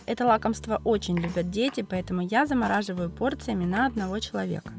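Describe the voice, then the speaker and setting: A woman with a cold, narrating a cooking video as its host, explaining each step calmly and methodically. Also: medium pitch, quick pace, vocal fry, faint music behind. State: has a cold, voice affected. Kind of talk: calm recipe voice-over narration.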